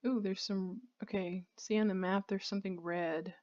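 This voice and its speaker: A woman's voice: medium pitch, fairly even intonation, normal speed, darkish tone, lo-fi sound. A woman giving casual let's play commentary on a video game, rambling and thinking aloud as she plays.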